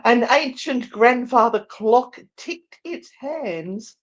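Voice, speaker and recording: A woman narrating a story as a dramatic live read, deliberate and theatrical. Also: fairly high pitch, expressive, dull audio. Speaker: narrator and co-host.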